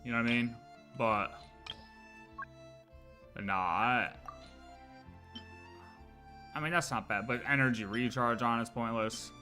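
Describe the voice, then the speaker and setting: A man's voice: medium pitch, unhurried, pauses often, bright, forceful tone, soft background music. A man livestreaming a video game, chatting casually and thinking aloud in slangy, offhand asides.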